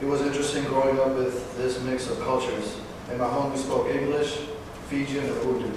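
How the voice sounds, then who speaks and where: A man's voice: medium pitch, flat delivery. Man speaking far off in an echoey hall. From Northern California. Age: 20s. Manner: halting, with fillers and self-corrections. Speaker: veteran giving a talk. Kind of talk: personal speech read to an audience. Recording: distant, echoey hall recording with background noise.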